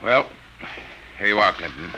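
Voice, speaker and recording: Deep, forceful American army major. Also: male voice, animated, normal speed, dull audio, full character voice. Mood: grave, concerned. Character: grave, protective male army major. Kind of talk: old-time radio drama acting. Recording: vintage radio recording with hiss.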